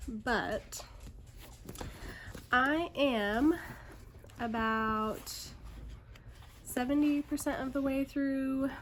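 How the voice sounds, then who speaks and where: A woman's voice: high-pitched, slight background noise. A woman book vlogger talking casually and reading book blurbs aloud, a little congested in parts. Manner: chatty, upbeat, filler-heavy.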